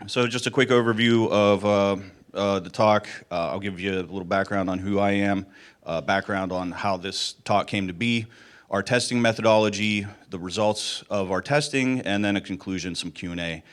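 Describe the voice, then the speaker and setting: A middle-aged man with a slightly hoarse voice, giving an informal technical conference talk in a casual, self-deprecating way. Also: on the low side, moderate pace. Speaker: conference speaker, security architect.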